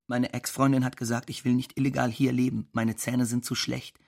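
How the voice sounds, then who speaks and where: A man's mid-range, gravelly voice, an actor's, as a resigned lover in an audio drama. He talks plainly and conversationally. Each sentence is short and matter-of-fact. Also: mid-range voice, little pitch movement, brisk, background noise, lightly acted. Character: resigned, plain-spoken man torn from his beloved.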